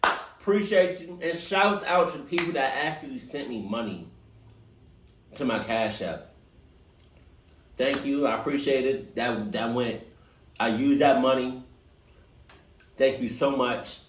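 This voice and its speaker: A man's voice: mid-range voice, a little slow, long pauses, reverberant, a little hiss or hum. A young man hosting a reaction video, making teasing, joking remarks to his viewers. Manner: joking, teasing, animated asides. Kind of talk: casual reaction commentary.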